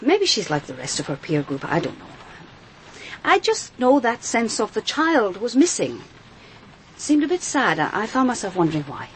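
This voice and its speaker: A woman's voice: a little high, wildly swooping pitch, moderate pace, slight background noise, rough recording. An actress playing an earnest, perceptive policewoman in a radio crime drama, thoughtful and a little hesitant.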